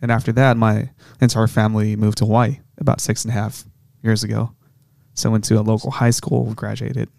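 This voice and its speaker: Soft-spoken young Japanese man, gravelly. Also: on the low side, little pitch movement, fairly quick, dry recording, studio quality. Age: 20s. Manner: soft-spoken, hesitant, polite. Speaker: guest musician.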